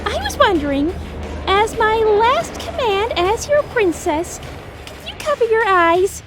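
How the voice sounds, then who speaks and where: A woman voicing a kind, self-sacrificing young hedgehog princess in a cartoon comic dub, earnest and expressive. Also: extremely high pitch, unhurried, over music, full character voice. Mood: playful.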